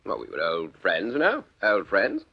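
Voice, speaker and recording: An actor playing a bluff, evasive major in a British radio drama, with an upper-class English accent and a clipped, affable, blustering manner. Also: male voice, deep voice, wildly swooping pitch, moderate pace, husky, dull audio, full character voice. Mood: casual, evasive. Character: bluff, evasive English major, smooth-talking male con man.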